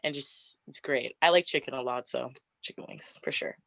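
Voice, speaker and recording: Deep raspy young woman, chatty. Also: normal speed, fairly bright tone, no echo. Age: 20s. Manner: chatty, frequent "like" fillers, laughs often. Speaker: interview guest. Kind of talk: casual get-to-know-me interview.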